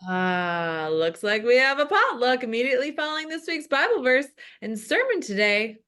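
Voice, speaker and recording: A woman voicing an older, food-loving male pastor with labored breathing, reading halting announcements at a comedy table read. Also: high voice, sing-song, fairly bright tone, pitched above the performer's own voice. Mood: bumbling, eager. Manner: halting, bumbling, muttered asides.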